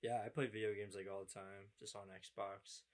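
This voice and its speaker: A young man around twenty-one with a low voice, hosting a loose conversation. He asks his friends questions and teases them lightly. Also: level tone, normal speed. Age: 20s. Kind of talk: casual interview chat.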